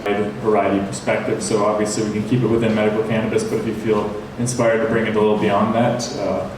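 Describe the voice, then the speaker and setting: A man's voice: fairly low pitch, flat delivery, fast. A man moderating a panel, inviting questions from the audience in an easygoing way. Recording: echoey hall from far off, noise.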